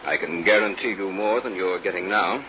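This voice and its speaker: A very deep older male scientist from a vintage radio drama. He is fatherly yet driven and obsessed with his research. The old recording is clearly noisy, with rain behind the voice. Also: brisk, bright, forceful tone. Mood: earnest, persuasive. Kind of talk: 1950s radio drama acting.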